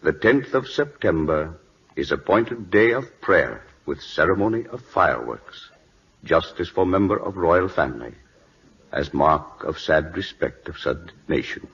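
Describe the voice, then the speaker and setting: Very deep, solemn court official. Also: male voice, animated, fairly bright tone, husky, exaggerated voice. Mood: grave, formal. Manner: deferential, halting broken English. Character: solemn, loyal Siamese court official, deferential and grave. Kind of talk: old-time radio drama acting. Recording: muffled vintage radio broadcast.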